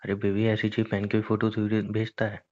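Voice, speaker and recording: A man's voice: on the low side, monotone, brisk, darkish tone, lightly acted. A young man playing a lazy, evasive boyfriend in an acted phone-call drama, making casual, whiny, defensive excuses. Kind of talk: acted phone-call conversation between a couple.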